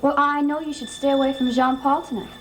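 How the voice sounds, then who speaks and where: An actress playing a strange, earnest young woman with second sight, halting and cryptic, in a vintage gothic soap. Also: high-pitched, little pitch movement, slight background noise, lightly acted, rough recording. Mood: uneasy, earnest. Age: young adult. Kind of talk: 1960s-70s TV soap acting. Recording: old TV soundtrack with music underneath.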